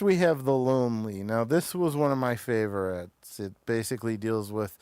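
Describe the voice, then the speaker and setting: Clear, smooth-voiced man reviewing television casually. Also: on the low side, dry recording, studio quality. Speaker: show host reviewing television episodes.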